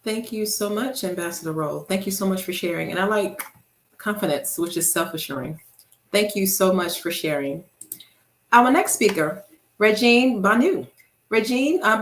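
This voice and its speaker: A woman's voice: mid-range voice, fairly bright tone. Woman, conference host, formal introductions, roomy echo. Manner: formal, reads out speaker bios. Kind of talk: introducing speakers.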